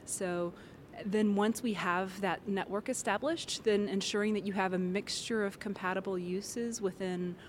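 A woman's voice: medium pitch, fairly even intonation, a little slow, slight background noise. A woman in an interview, the director of an urban design firm, explaining her ideas and thinking aloud with restarts. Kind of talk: interview answers.

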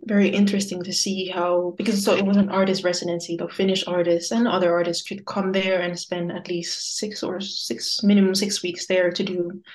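A woman's voice: lowish voice, a little mellow, low-quality recording. A young woman, a podcast guest, talking through her experiences in a thoughtful, hedging way while she searches for words. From Luxembourg. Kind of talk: casual podcast interview.

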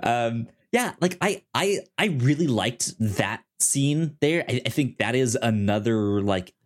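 A man co-hosting a casual podcast discussion, speaking haltingly and often repeating syllables and words in a stutter-like way. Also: medium pitch, lively intonation. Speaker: podcast co-host.

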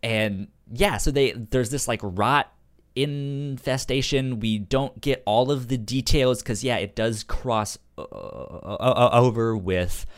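A man co-hosting a casual, informal comic book discussion, halting and stuttering as he repeats sounds and syllables. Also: mid-range voice, dry recording, studio quality. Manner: loose and informal, halting delivery. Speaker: podcast co-host.

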